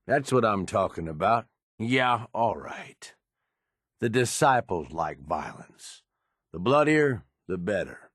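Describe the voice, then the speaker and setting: An actor playing a scheming, gruff middle-aged raider lieutenant in a video game, blunt and wry as he persuades. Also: low voice, a bit bright, dry recording, studio quality, heavily acted. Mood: explaining, persuasive. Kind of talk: game dialogue acting.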